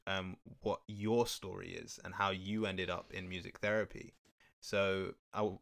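A man's voice: low-pitched, vocal fry, fairly bright tone. A man hosting a podcast, asking a guest about her story in a casual, encouraging way. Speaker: podcast host.

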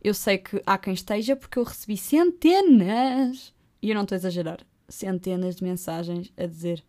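A young woman with a European Portuguese accent hosting her own podcast, chatting casually and poking fun at herself with quick asides. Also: medium pitch. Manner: joking, self-mocking, lively asides. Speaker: podcast host. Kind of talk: casual solo podcast chat. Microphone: close podcast microphone.

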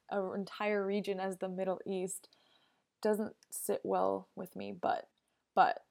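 A woman's voice: medium pitch, vocal fry, darkish tone. A young woman hosting a solo podcast, talking in an earnest, opinionated way that often wanders off on tangents. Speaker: podcast host. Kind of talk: casual solo podcast monologue.

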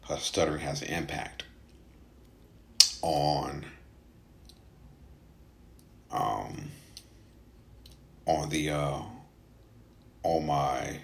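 A man who stutters, speaking casually in a halting personal monologue with frequent gaps and hesitations, as a podcast host. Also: rumbling low, gravelly.

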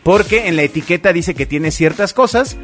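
Man, Mexican accent, slightly high voice, swooping intonation, halting casual delivery, talk show, faint music. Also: expressive, fairly bright tone. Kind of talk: casual chat, presenting a news item. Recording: music playing behind the talk. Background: background music.

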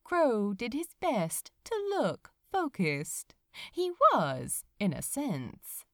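A woman reading a story aloud as narrator, in an expressive scripted storytelling style. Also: medium pitch, very slow, no room sound, clean studio sound. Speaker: audiobook-style narrator of fan fiction.

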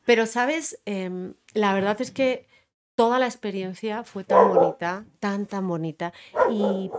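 A woman from Spain chatting as an interview guest, telling animated stories and quoting other people. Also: mid-range voice, unhurried, heavy background noise. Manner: animated storyteller, quotes others, laughs often. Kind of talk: casual interview chat.

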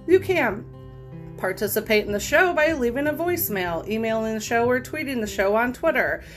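A woman's voice: fairly high pitch, lively intonation, moderate pace, soft background music. A woman hosting a podcast, reading online posts aloud cold and then giving her frank take on them. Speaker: podcast host.

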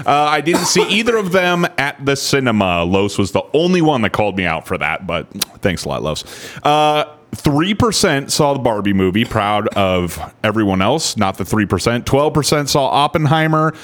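A man's voice: mid-range voice, very animated, moderate pace, a bit bright. A man co-hosting a casual comedy podcast, crude and teasing, swearing a lot, worn down by the heat. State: overheated and sweaty. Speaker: co-host.

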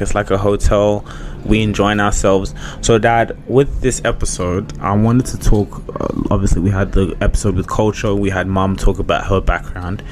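A young man with a lowish voice, the sort heard interviewing family on a holiday podcast, ambient noise all around. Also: darkish tone. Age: young adult. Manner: slangy, conversational. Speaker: podcast host interviewing his father. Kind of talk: casual chat. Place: on a cruise ship. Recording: clearly noisy ambient background.

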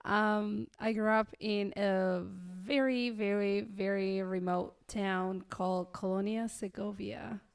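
Young woman from argentina, smooth clean voice, easygoing and sincere. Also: mid-range voice, slow pace, hardly any pauses. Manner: relaxed, earnest, many ums. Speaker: guest. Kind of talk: casual interview storytelling.

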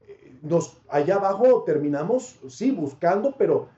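A man who works as a sports reporter, answering interview questions as an animated, expansive storyteller. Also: sits high, unhurried. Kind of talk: interview answers, anecdote telling. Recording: dull, roomy sound.

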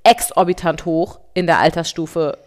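A woman in her thirties co-hosting a podcast as a parent, talking fast and getting worked up, sometimes turning sarcastic. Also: low-pitched, steady tone. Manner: fast, agitated, sarcastic when upset. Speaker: podcast co-host. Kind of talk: casual podcast chat.

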